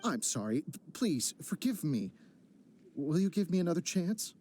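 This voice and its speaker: A man's voice: on the high side, very animated, a little slow, dark tone, slight background noise. A man voicing a nervous, placating young boyfriend in a video game, pleading and defensive. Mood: apologetic. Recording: game audio with background music.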